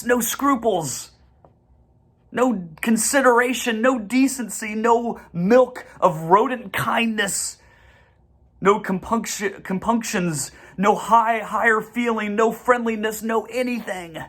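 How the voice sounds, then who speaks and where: A reader narrating a children's storybook in an expressive manner. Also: mid-range voice, takes it slowly. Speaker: audiobook reader.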